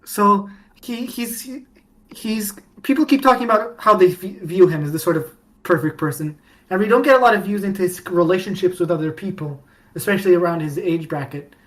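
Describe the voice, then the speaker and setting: A woman co-hosting a podcast, chatting casually about fandom in an animated storytelling way with mock-dramatic emphasis. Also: on the low side, a little fast. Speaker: podcast co-host.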